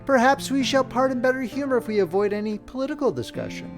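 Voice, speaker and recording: A man's voice: extremely high pitch, expressive, fast, music in the background, pitched above the performer's own voice. A man voicing the new year, a hopeful and slightly touchy young maiden in a storybook. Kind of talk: storybook character reading.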